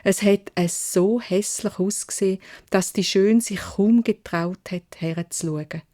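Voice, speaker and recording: A woman with a Swiss German accent narrating a children's fairy tale in a measured, expressive way. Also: medium pitch. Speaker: storyteller.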